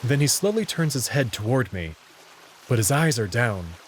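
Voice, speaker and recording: A man's voice: medium pitch, big pitch swings, normal speed, slight background noise. A man giving a dramatic first-person narration of a visual novel story. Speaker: narrator and reader.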